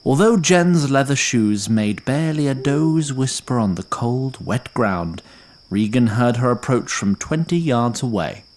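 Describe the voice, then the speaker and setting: A man reading scripted fantasy narration in a measured, literary, dryly wry manner. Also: medium pitch, animated, a little mellow. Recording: faint ambient noise.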